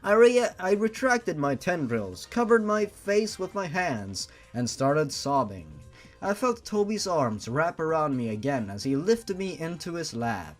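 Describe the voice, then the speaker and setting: A man's voice: a little high, very animated, measured pace, faint music behind. A man reading a fan story aloud and breaking in with sarcastic, profane reactions. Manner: sarcastic and reactive, swears freely. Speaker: story reader.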